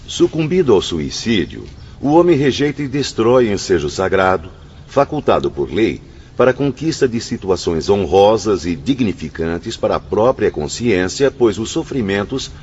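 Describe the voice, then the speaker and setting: A solemn spirit pupil in a radio drama, with a deep, dramatically varied voice. He declaims formally on a dull recording with faint noise behind. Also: very animated, moderate pace, a little mellow. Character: João, solemn, encouraging male spirit pupil reading precepts.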